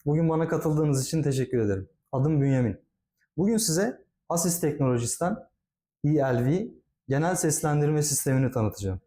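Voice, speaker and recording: A man's voice: on the high side, lively intonation, brisk, dark tone, slight background noise. A man giving a product demonstration as a company presenter, speaking politely and formally, explaining things step by step.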